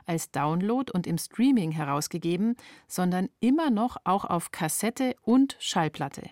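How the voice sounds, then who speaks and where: A woman's voice: fairly low pitch, animated, unhurried, a little mellow, no echo, clean studio sound. A woman reading scripted documentary narration as a feature reporter.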